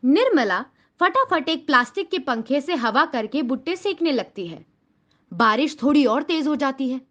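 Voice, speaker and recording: Casting: female narrator for children's moral stories, high-pitched voice, scripted storytelling, slightly dull lo-fi sound. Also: big pitch swings, normal speed, fairly bright tone.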